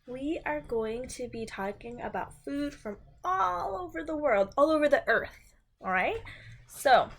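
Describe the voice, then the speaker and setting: A woman's voice: sits high, lively intonation, unhurried, vocal fry, fairly bright tone. A woman reading a picture book aloud to young children and chatting to them in simple, encouraging language.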